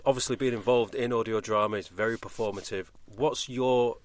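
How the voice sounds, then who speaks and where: A man's voice: mid-range voice, fairly even intonation, a little fast. A man hosting a casual podcast, talking in a discursive way and often interrupting himself. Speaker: podcast host, voice actor.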